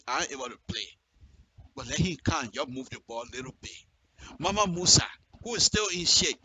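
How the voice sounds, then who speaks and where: A man with a Liberian accent speaks as a radio commentator, addressing his subject directly and with passion. His voice is very bright and forceful, swinging widely in pitch as he repeats phrases for emphasis. Clear noise and clicks sit in the recording. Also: rough recording.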